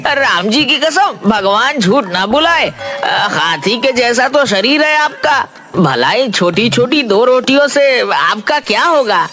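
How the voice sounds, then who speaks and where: A man playing a high-pitched, fretful poor woodcutter. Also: sing-song, slow, over music, full character voice. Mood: nervous, humble. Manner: folksy, repeats a pet oath, plaintive. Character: poor, fearful village woodcutter, folksy and fretful.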